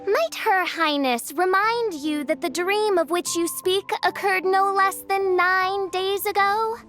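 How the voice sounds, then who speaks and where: A woman's voice: very high voice, measured pace, fairly bright tone, faint music behind. A woman voicing a haughty, theatrical teenage girl who poses as a princess in a video game, speaking in pompous, archaic phrases. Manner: pompous, archaic, grandiose diction.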